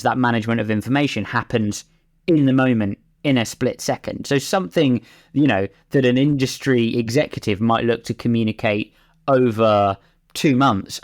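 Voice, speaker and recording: A man talking as a racing driver and pundit, giving conversational, discursive analysis in an emphatic way. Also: mid-range voice.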